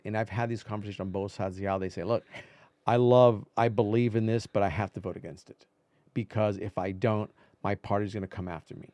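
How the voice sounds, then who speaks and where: A man with a low, mellow voice that has a noticeable gravelly fry. He talks casually as a reporter being interviewed, circling back on phrases and tossing in asides. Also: no room sound. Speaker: veteran political reporter, interview guest. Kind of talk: casual conversational interview talk.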